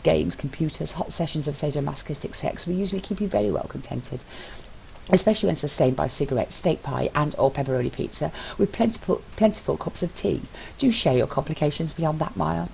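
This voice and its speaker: A woman voicing an exasperated, bossy and sharp-tongued young man in an audio story. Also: sits low, brisk, gravelly, slight background noise, rough recording. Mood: challenging. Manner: exasperated, cutting, foul-mouthed.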